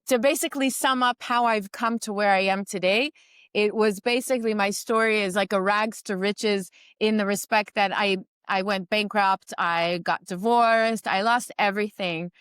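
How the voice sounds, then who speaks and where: Smooth-voiced New York woman, reflective. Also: medium pitch, fairly bright tone, no echo, studio quality. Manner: reflective, many ums and restarts. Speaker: guest entrepreneur and mentor. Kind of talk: remote interview conversation. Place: home in Israel during a storm.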